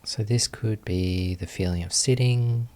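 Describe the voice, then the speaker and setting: A man leading a guided meditation as a teacher, giving gentle instructions with long pauses. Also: on the low side.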